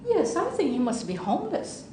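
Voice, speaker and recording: A woman's voice: high voice, wildly swooping pitch, moderate pace. Woman acting a worried, fretful woman, distant echo. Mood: wary. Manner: cautious, imploring. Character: worried, timid woman who frets and nags gently. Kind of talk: amateur radio play acting. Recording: echoey room, off mic, faint noise.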